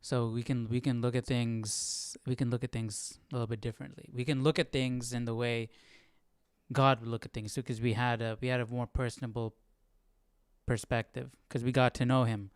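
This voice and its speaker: Casting: male livestream teacher, mid-pitched clean voice, relaxed chatty explaining, close dry sound. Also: normal speed, clean tone, dry recording. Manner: conversational, asks listeners questions. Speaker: host of live Bible study. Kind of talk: casual teaching chat.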